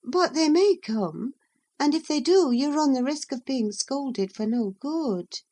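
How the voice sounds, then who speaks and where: A woman reader voicing a petulant, irritable young woman who sounds high-pitched and demanding. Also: lively intonation, measured pace, mellow. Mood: evasive.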